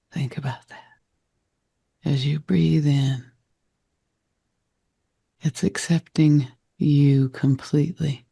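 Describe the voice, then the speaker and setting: Slow, dark, gravelly male meditation guide. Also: fairly high pitch, no room sound. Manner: gentle, reflective, deliberate phrasing with short fragments.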